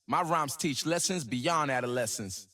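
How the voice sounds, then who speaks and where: A man's voice: mid-range voice, normal speed, sharp tone, close to an everyday voice. A man voicing a cocky young rapper prodigy in a video game, delivering rhymed boasts with a swaggering, rhythmic flow. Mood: proud, lyrical. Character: cocky young gifted male rapper prodigy, playful, proud. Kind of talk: rhymed, rap-like game voice lines.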